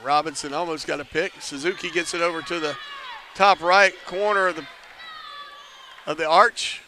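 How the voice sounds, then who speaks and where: A man calls a basketball game live from inside an arena with a high, ringing, very bright voice. He keeps up a chatty stream of play-by-play, cracks jokes about himself, and pushes up to near shouts when a shot drops. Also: fairly even intonation, moderate pace, a little hiss or hum. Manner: lifts to near shouts on big plays. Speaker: play-by-play commentator.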